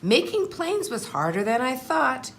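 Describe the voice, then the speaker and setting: A woman voicing a humble, anxious but determined egg telling his life story in a storybook, in an earnest, measured way. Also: high-pitched, sing-song, a bit bright, a little hiss or hum, pitched above the performer's own voice, heavily acted. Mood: hopeful. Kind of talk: storybook first-person narration.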